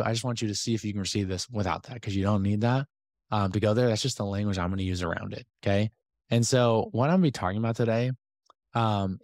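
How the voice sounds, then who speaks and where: A man hosting a business podcast, talking casually to his community with a slightly hoarse voice and lots of fillers and asides. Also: fairly low pitch, no room sound, studio quality. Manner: energetic, chatty, many fillers and asides. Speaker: podcast host and business coach. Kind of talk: casual talk to a community call.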